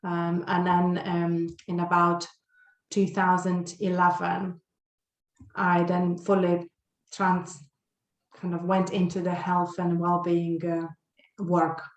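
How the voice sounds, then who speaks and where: A woman's voice: on the low side, completely flat delivery, takes it slowly, reverberant, poor audio. A woman in her forties from Lithuania, a guest on a podcast interview, talking with many fillers and restarts. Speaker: guest, health and well-being coach.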